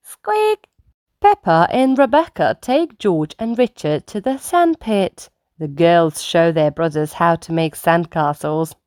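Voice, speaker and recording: A woman reading a children's story aloud in an animated manner aimed at young children. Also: lowish voice, a little slow, hardly any pauses, dry recording, studio quality.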